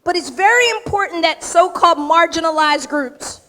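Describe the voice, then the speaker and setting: Emphatic woman at an awards podium, high and a touch raspy, direct and punchy. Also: animated, normal speed, pushed and bright. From United States. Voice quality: slightly raspy. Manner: straight-talking, emphatic, punchy short phrases. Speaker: award recipient giving an acceptance speech. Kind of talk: acceptance speech to a live audience. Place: large event room with audience.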